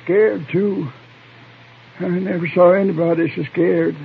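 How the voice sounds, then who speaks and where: An actor playing a weary, meek man in his seventies from the frontier, husky and folksy, in an old radio western. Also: sits high, fairly even intonation, measured pace, full character voice. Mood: weary, feeble. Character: worn-out old frontiersman, seventy-five, plain-spoken and meek. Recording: muffled, narrow-band old radio recording.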